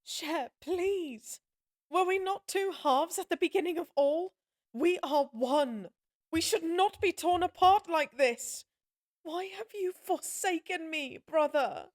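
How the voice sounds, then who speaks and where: A woman's voice: extremely high pitch, takes it slowly. A woman playing a sorrowful, forsaken goddess in an audio drama, a plaintive sister who speaks formally. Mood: pleading. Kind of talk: dramatic audio roleplay acting.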